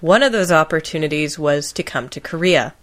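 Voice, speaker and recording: A woman talks as a podcast host in a relaxed, informal chat style. Her pitch is a little lower than usual, and she has a playful way of teasing as she speaks. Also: a little fast.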